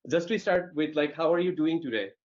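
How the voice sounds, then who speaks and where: A man's voice: on the high side, lively intonation, brisk. A man hosting an interview, introducing his guest and asking questions hesitantly, with many fillers. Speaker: community host. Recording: dull lo-fi audio.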